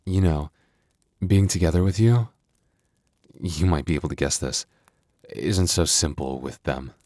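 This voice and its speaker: A man's voice: sits low, a little slow, a little mellow, dry recording, clean studio sound. A man playing a young werewolf boyfriend, tender but protective with a tough edge, in an intimate ASMR roleplay. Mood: troubled, confiding.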